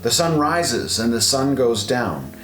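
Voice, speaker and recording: A man narrating an audio Bible in a measured, solemn delivery with deliberate pauses. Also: medium pitch, very animated, a bit bright. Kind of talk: scripted scripture reading, audio Bible. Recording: roomy reverberant voice over music. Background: guitar music.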